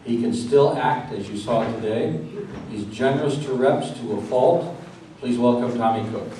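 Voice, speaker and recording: Male interviewer, live stage introduction, medium-pitched voice, very echoey, recorded from a distance. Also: normal speed. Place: live event venue with audience. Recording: echoey room, distant mic, clear background noise.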